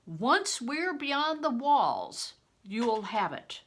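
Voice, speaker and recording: A woman's voice: a little high, sing-song, very slow, fairly bright tone, pitched above the performer's own voice, full character voice. An older woman voicing a determined, defiant boy hero in a storybook, with insistent, emphatic declarations. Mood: firm, defiant.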